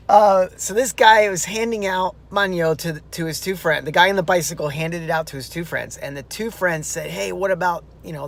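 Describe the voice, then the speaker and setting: A man's voice: high voice, creaky, fairly bright tone. A man casually recapping a marathon for his vlog, chatty and rambling, with fillers and restarts. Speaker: runner vlogging a marathon. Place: outdoors and in a car.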